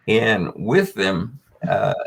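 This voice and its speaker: Older man telling a halting story. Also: lowish voice, sing-song, creaky, a little hiss or hum. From United States. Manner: halting, many fillers and restarts. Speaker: guest. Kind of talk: storytelling in a panel discussion.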